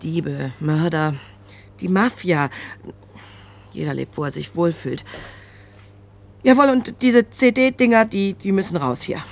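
A woman's voice: lowish voice, takes it slowly, long pauses. A woman playing a brusque, wry, nervy shopkeeper in a German crime radio drama, talking in a sardonic, colloquial, flustered way.

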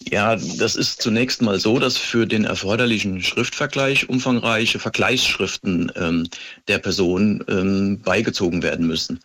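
A middle-aged man answering interview questions as a police expert, explaining in a methodical, precise and measured way. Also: on the low side, a bit bright.

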